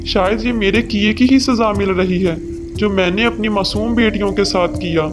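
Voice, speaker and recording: A man's voice: sits high, normal speed, natural voice. A man voicing a stern, self-serving father bird in a children's cartoon, speaking in a plain declamatory way. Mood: hurt, regretful. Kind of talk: Hindi children's cartoon dubbing. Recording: long hall reverb, music underneath.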